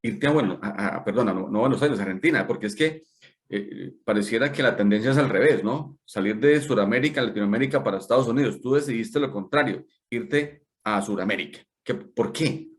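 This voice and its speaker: A man's voice: fairly low pitch, a little fast. A man hosting an interview, curious and hesitant, often rephrasing his questions as he goes. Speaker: interview host. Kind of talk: conversational interview.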